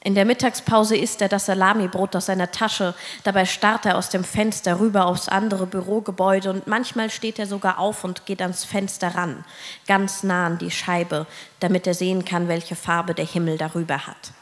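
A young woman tells a slam poetry story into a stage microphone. Her delivery is rhythmic and her voice sits at a medium pitch, with the long reverb of a hall. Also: little pitch movement, moderate pace. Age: 20s. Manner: rhythmic, repetitive listing. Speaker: slam poet. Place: live stage in an indoor event hall. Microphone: handheld-type mic on stand.

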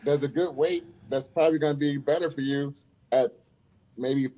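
A man's voice: on the high side, steady tone, normal speed, mellow, poor audio. A man in his fifties, a guest on a podcast, talking emphatically and repeating his points, heard over a video call from a room at home. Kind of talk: casual conversation. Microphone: video call webcam.

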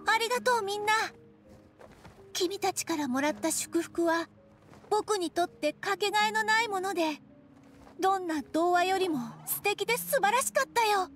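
A woman's voice: extremely high pitch, normal speed, fairly bright tone, faint music behind. A woman voicing a small, eager young male dragon in a video game, speaking in an earnest, childlike way. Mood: grateful. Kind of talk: Japanese game voice acting.

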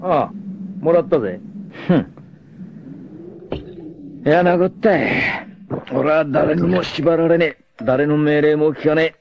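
An actor playing a carefree, roguish young martial artist and womanizer in a dramatic anime, with a cocky drawl and rough masculine speech. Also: fairly high pitch, measured pace, pauses often, a little hiss or hum. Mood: defiant, breezy. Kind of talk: dramatic 1980s anime voice acting. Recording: dull lo-fi old TV audio.

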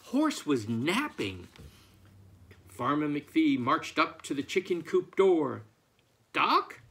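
Male author, 60s, storytelling read-aloud: lightly rough voice, raised pitch, very varied intonation. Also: very animated, slow pace. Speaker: picture book author. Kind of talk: expressive picture book read-aloud and reading out letters. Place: book-lined room at home, indoors.

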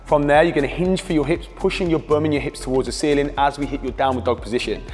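A British man, a fitness coach, talking through exercises with steady coaching cues. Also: a little high, fast. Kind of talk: instructional workout voice-over. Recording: reverberant hall with background music.

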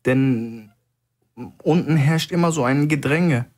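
A man voicing a nervous, timid man with a shaky, stuttering, shrill way of talking. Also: takes it slowly, darkish tone. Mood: flustered, nervous.

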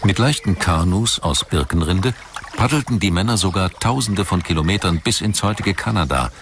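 A man's voice: sits low, animated, moderate pace, noisy background. A man reading scripted documentary narration as the narrator. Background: music behind the voice.

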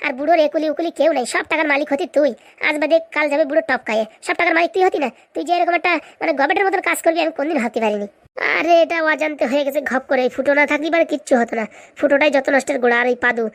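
Actress doing a greedy cartoon village schemer lady, high voice, super quick bickering chatter. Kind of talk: comedy cartoon voice acting.

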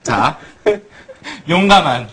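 A man's voice: on the high side, big pitch swings, extremely slow, pushed and bright, breathy edge. A young man in his twenties teasing friends with quiz questions in casual banter on a studio set. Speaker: idol group member. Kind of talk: playful variety show banter. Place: bright studio living-room set.

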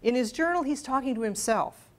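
A woman's voice: high voice, animated, brisk. An American woman in her sixties, a scholar delivering a prepared lecture. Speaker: scholarly editor giving a lecture. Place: library event room, at a lectern. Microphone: lapel microphone.

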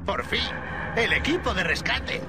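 An actor playing a jittery, reluctant civilian in a game, creaky and hesitant. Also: male voice, high-pitched, sing-song, unhurried, music in the background. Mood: relieved. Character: nervous, reluctant civilian waiting for rescue.

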